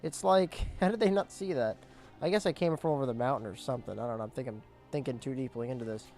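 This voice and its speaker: A young man casually commentating his gameplay, explaining objectives as he goes, his voice cracking and squeaking now and then. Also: fast, darkish tone, faint music behind. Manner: chatty, explains objectives as he plays. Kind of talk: casual let's play commentary.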